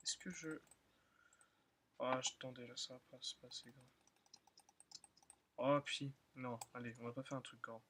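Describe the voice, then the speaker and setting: A young man who is still in school, slightly hoarse, rambling and thinking aloud with many fillers as he comments on his own gameplay. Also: mid-range voice, flat delivery, normal speed, pauses often, heavy background noise. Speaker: gaming channel host. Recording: loud keyboard and mouse clicking.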